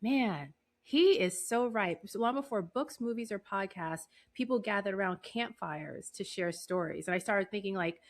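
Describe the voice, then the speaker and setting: A woman's voice: mid-range voice, expressive, moderate pace. A woman with a mid-range voice hosts a casual interview. She is chatty and conversational, building her questions loosely as she talks. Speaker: podcast host, interviewer.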